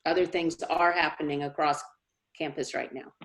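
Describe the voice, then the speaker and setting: A woman speaking as a college dean, answering questions in a measured, reassuring, explanatory way on a video call. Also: low voice, steady tone. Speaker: college dean hosting a town hall. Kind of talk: informal question-and-answer briefing. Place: remote online meeting.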